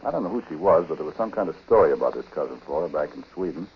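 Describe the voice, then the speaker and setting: Dull old radio recording, confiding American husband. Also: male voice, extremely low pitch, expressive, rapid-fire, a little hiss or hum. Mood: casual, fond. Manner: colloquial, folksy, slangy, intimate direct address. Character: chatty world-weary husband of a phony medium, confiding. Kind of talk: 1940s radio drama acting, confiding first-person narration.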